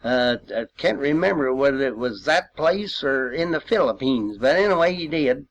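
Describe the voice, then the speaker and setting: A man's voice: mid-range voice, lively intonation, normal speed, lo-fi sound. An elderly man, a war veteran reminiscing informally in a folksy, rambling way with old-time expressions. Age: 80s or older. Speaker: World War II veteran sharing memories.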